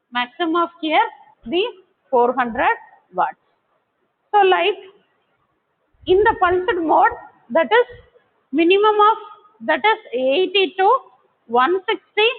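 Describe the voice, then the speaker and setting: A woman lecturer giving an explanatory technical class in a didactic way, posing questions and then answering them. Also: extremely high pitch. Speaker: college lecturer. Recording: thin, phone-like narrow-band audio.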